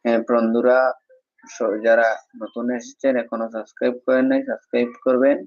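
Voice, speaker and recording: Gravelly, even-toned man hosting a livestream. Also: medium pitch, brisk, no room sound, dull audio. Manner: repetitive, formulaic address to viewers. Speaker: livestream host. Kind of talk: livestream greetings and repeated appeals to viewers.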